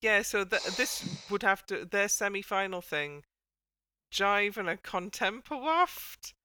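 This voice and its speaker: Female podcast host, smooth and forward, dryly recorded, wry and irreverent in casual panel chat. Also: mid-range voice, lively intonation, a little slow, sharp tone, dry recording.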